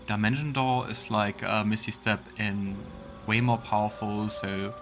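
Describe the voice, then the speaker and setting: A man's voice: lowish voice, steady tone, measured pace, a bit bright. A man giving casual let's play commentary, thinking aloud and reading game text out as he goes. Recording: game music behind the voice. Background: video game music.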